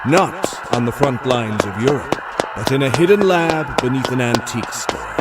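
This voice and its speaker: A man reading scripted audio drama narration in a measured, suspenseful storytelling manner. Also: medium pitch, big pitch swings, recorded from a distance, very noisy.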